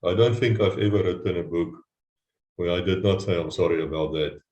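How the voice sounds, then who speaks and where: Male interview host, very low voice, earnest, echoey room. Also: fairly quick. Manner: earnest, warm praise of guest. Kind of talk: casual interview talk.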